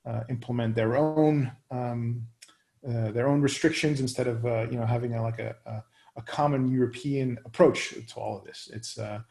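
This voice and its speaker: A man in his thirties, raised in the Netherlands, answers interview questions at an unhurried, searching pace. His voice sits at an ordinary middle pitch with a noticeably gravelly edge. He keeps pausing to hunt for the right words. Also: fairly even intonation, darkish tone. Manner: many fillers and restarts, searching for words. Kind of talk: hesitant conversational answers.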